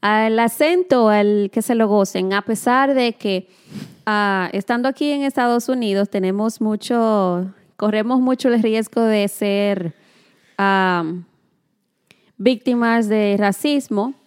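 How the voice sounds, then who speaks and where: A woman in her thirties co-hosting a casual conversation, encouraging and quick in her remarks. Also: medium pitch, clean tone.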